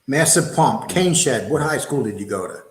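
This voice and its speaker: A man's voice: medium pitch, lively intonation, moderate pace, echoey room. A man hosting a livestream talk show, blunt and rambling, throwing out rhetorical questions and reading viewer comments. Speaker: show host.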